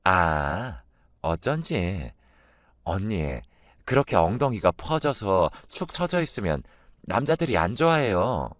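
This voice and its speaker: A man's voice: deep voice, big pitch swings, takes it slowly, no echo, studio quality, heavily acted. A man as a sly, taunting young woman. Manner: mocking, provocative. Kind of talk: dramatised story dialogue.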